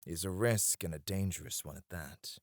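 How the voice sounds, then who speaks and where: A man voice acting a scheming, devoted royal advisor in an ASMR roleplay, soft-spoken and teasing, dropping to a hush when he addresses his queen. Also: low voice, moderate pace, no room sound, clean studio sound. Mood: teasing, confiding. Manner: teasing and confiding. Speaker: voice actor.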